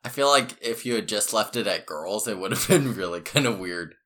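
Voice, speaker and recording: A man's voice: fairly low pitch, lively intonation, brisk, a bit bright. A man hosting a podcast in a casual, joking way, with a scratchy throat from the early hour. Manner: laid-back, joking, giggly. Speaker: podcast host.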